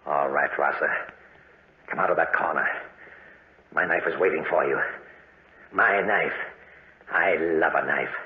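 A man's voice: a bass voice, measured pace, long pauses. An actor playing a menacing, knife-loving man prone to terror, in 1940s radio drama style. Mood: menacing, taunting. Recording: noisy, echoey, tinny 1940s radio recording.

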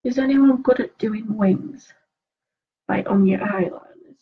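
A woman vlogger chatting casually while applying her makeup, a little sniffly with a cold, asking viewers questions and murmuring soft asides as she concentrates. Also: medium pitch, a little slow, narrow, tinny audio. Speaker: beauty vlogger.

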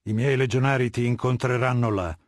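A man playing a husky, ruthless warlord. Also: medium pitch, steady tone, dry recording, clean studio sound, lightly acted. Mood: cold menace. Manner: imperious, measured, menacing. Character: commanding, ruthless middle-aged male warlord dictator. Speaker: video game voice actor. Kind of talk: dubbed video game dialogue.

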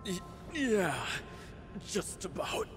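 Slow, doubtful soldier. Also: male voice, high voice, very animated, over music, heavily acted. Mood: dazed, doubtful.

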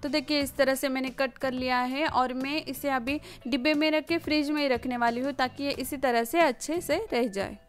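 A woman's voice: high-pitched, steady tone, normal speed, few pauses. A woman narrates like a home cooking vlogger. She explains things casually and a little hesitantly, repeating words as she goes. Faint music plays behind her. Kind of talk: casual recipe voice-over narration. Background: background music.

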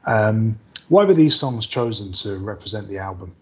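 British male interviewer, thirties, lowish voice, informal questioning over a video call. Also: expressive, normal speed. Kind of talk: casual interview. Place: room indoors on a video call.

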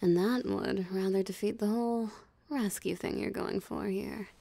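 A woman's voice: medium pitch, steady tone, normal speed, gravelly, dark tone, no room sound. A woman voicing a possessive, mocking female vampire in an ASMR roleplay, with a teasing, theatrically smug drawl. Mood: mocking, amused. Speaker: voice actor. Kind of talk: ASMR roleplay audio drama.

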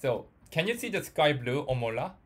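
A man with a Korean accent teaches an informal online language class in halting, repetitive English as a non-native speaker. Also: mid-range voice, very animated, a bit bright. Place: online group audio call.

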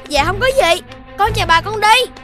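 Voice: very high-pitched, lively intonation, normal speed, pushed and bright, soft background music, heavily acted. A performer voicing a young cartoon puppy boy, childlike and exclamatory, with a southern Vietnamese accent. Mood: polite. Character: young Dalmatian puppy boy, eager, dreams of heroism. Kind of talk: cartoon dubbing.

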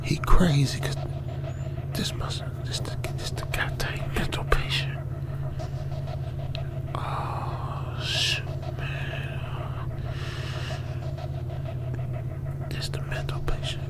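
A man's voice: slow, long pauses, close to an everyday voice. A man playing a frightened, stranded young American trucker in a radio drama, nervous and repetitive, often muttering or whispering. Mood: panicked whispering. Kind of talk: old-school radio drama with ASMR whispering. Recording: music behind the voice.

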